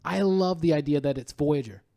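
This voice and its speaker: A man's voice: fairly high pitch, moderate pace, vocal fry, warm tone. A man hosting a podcast, chatting casually and emphatically about films with real enthusiasm. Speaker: podcast host.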